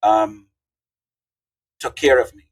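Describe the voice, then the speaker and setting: An older man, telling folksy stories as a podcast guest. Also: slow. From Guyana. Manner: animated, folksy storyteller. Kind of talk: casual interview storytelling.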